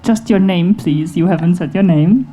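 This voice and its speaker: A woman's voice: fairly low pitch, normal speed, warm tone, husky. A woman moderator introducing a panel discussion at a live event. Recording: faint background noise, live event ambience.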